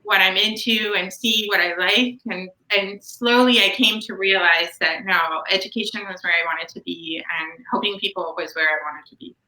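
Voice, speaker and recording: Bright-voiced Canadian woman, echoey room. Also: medium pitch, steady tone. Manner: chatty, laughs often, quick in bursts. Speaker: guest, nonprofit educator. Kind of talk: casual interview chat.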